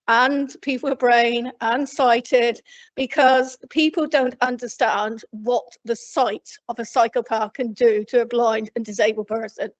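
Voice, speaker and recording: A woman from Cornwall speaking loudly and plainly from memory to a council committee, rambling with frequent restarts. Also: a little high. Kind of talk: unscripted address from memory. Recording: dull lo-fi council meeting recording.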